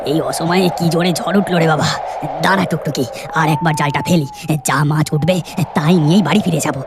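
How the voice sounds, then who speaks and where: A woman voicing an excitable, devoted village fisherman in a cartoon story, exclaiming urgently and pleadingly. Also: deep voice, a little fast, heavy background noise, pitched below the performer's own voice. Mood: effortful.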